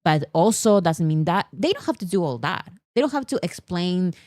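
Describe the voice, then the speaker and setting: A woman's voice: fairly low pitch, sing-song, normal speed, darkish tone, no room sound, studio quality. A woman chatting casually as a livestream host, playful and prone to giggling. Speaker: host of a pop culture livestream. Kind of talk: casual chat.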